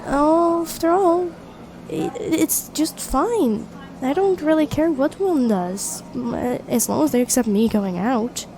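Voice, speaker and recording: A woman's voice: high-pitched, takes it slowly, dark tone, a little hiss or hum. A woman playing a friendly, teasing young best friend with a secret crush in an intimate ASMR roleplay. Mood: friendly, chatty. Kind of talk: intimate ASMR roleplay acting, one side of a conversation.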